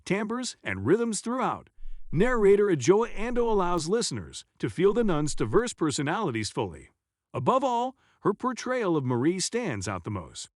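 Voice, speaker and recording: A man reading scripted review narration in a formal, measured way. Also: high voice, no echo, clean studio sound. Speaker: narrator of an audiobook ranking video.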